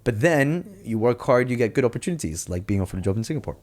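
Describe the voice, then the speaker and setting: A man's voice: medium pitch, animated, fast talker, a little mellow. A man hosting a podcast interview, chatty and jokey, with many fillers and restarts. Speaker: podcast host. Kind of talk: casual interview chat.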